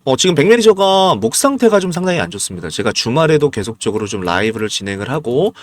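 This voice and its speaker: A hoarse man analyzing stock charts as a channel host, emphatic and asking his viewers rhetorical questions. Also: mid-range voice, big pitch swings, fast talker, dry recording.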